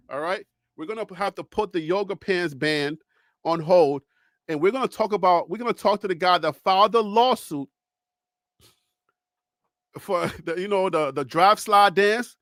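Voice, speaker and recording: A man from Liberia, hosting a live call-in show in a preachy, animated way and reading the chat. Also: high-pitched, dry recording, studio quality. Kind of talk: casual livestream talk.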